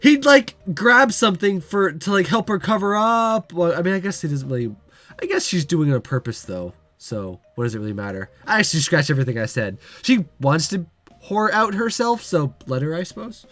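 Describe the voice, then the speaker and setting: A man's voice: high voice, sing-song, fairly quick, a bit bright. A man hosting a let's play, reading a visual novel aloud and breaking off for casual, joking commentary.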